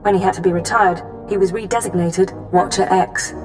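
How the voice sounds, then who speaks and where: An actress playing a cool, efficient imperial intelligence officer in a video game, giving crisp, formal briefings. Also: female voice, fairly low pitch, steady tone, a little fast, over music, lightly acted. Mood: brisk, businesslike.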